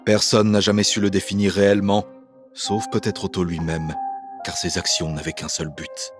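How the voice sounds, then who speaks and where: A low-voiced, solemn mentor acted by a man tells things in measured, knowing, dramatic lines. Music runs behind the voice. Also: level tone, lightly acted. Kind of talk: dramatic scripted voice acting.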